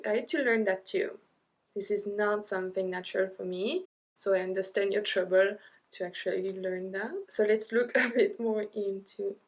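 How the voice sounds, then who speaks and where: A young woman with a French accent, teaching an online language lesson, drilling number words slowly with chatty asides. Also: mid-range voice. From French-speaking Europe.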